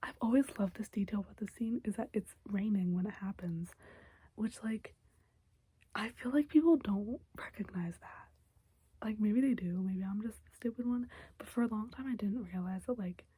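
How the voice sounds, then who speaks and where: A young woman giving a casual, chatty recap of a TV episode in a soft whisper, close to the mic, with lots of fillers. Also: mid-range voice, moderate pace, slightly rough, dry recording.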